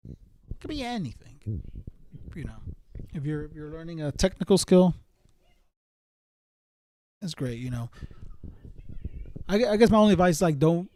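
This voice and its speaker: Casting: man around thirty, relaxed mellow tone on the higher side, slangy off-the-cuff streamer talk that loops back on itself. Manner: rambling, repeats himself, slangy. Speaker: live streamer chatting with viewers. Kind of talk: casual chat.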